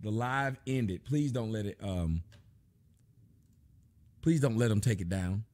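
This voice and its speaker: A man, hosting a live stream from home and mocking the clips he shows in loud, preachy rants. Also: on the low side, animated, takes it slowly. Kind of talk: ranting commentary on live streams. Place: home room with a fireplace.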